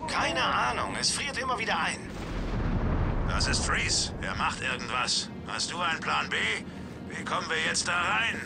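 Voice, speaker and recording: A man's voice: fairly high pitch, very animated, normal speed, vocal fry. An actor playing a nervous male thug henchman in a German game dub, jittery and complaining. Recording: loud noise and distant battle.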